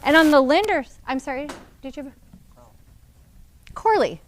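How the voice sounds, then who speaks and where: A woman's voice: high-pitched, lively intonation, normal speed, background noise. A woman leading a real estate team meeting, explaining deals to agents in a direct, teaching manner. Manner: direct, explanatory, addresses the group. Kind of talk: training talk to agents.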